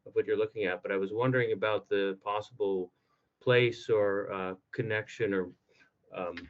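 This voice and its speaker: A man's voice: on the low side, steady tone, moderate pace, phone-quality sound. A man asking a conference presenter a question, tentative and hedging, often correcting himself. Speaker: conference discussant.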